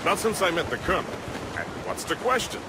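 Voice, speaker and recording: A confident older ship's mate in a video game. His hearty voice is raised and lively, set against very loud waves and ship noise. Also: high voice, fast. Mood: hearty, assured. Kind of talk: dramatic video game cutscene acting.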